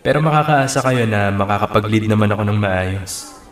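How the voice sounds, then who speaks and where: A man's voice: fairly low pitch, fairly quick, lightly acted. A man playing a modest, easygoing male college student leader in a radio drama, reserved and lightly teasing. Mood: shy, formal. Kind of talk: Filipino radio drama acting. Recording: roomy echo, music underneath.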